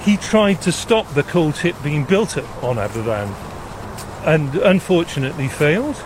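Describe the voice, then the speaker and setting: An older man from South Wales, explaining things in a measured way as an interview guest. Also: a little high, very animated. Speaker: interview guest, retired nuclear engineer. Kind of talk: explanatory conversation. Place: outdoors walking in a gorge. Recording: outdoor recording with clear traffic noise. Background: road traffic and outdoor ambience.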